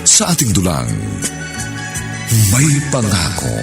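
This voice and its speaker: Male drama announcer: slow, very animated, formal and theatrical, creaky low end. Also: music in the background. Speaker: radio drama announcer and host.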